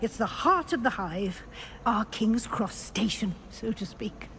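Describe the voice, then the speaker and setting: A composed, instructive professor. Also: female voice, on the high side, lively intonation, fairly bright tone, slight background noise. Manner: measured, instructive. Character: composed female professor guiding a new student. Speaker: game character.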